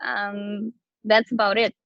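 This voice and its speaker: A woman's voice: medium pitch, level tone, slow pace, a bit bright, no echo, highs cut off. A bubbly woman chatting casually as an interview guest, a professional dancer whose native language may be Romanian. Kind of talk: casual chat.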